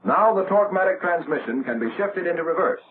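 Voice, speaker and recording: An American man narrating a 1940s military training film in a measured, instructional way, as a scripted voice-over. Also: a little high, animated. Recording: tinny, band-limited, noisy old film soundtrack.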